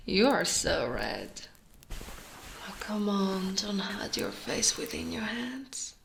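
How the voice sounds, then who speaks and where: A woman from Italy voicing a short female orc guard in intimate ASMR roleplay, gently dominant and doting, soft and breathy with slips into whispering. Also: mid-range voice, big pitch swings, slow pace, slight background noise, exaggerated voice. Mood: flirty, coaxing. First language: Italian.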